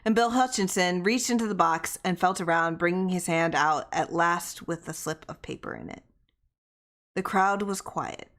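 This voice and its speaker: A woman's voice: fairly low pitch, moderate pace, a bit bright. A woman narrating a short story from a script as a reader, with character dialogue set among the prose.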